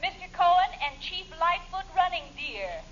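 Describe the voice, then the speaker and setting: A prim American secretary in a 1940s radio detective spoof. Her voice is extremely high. It comes through a muffled old recording with clear background noise. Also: lively intonation, normal speed, fairly bright tone. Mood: prim, obliging. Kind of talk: 1940s radio comedy acting. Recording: muffled, noisy vintage radio broadcast.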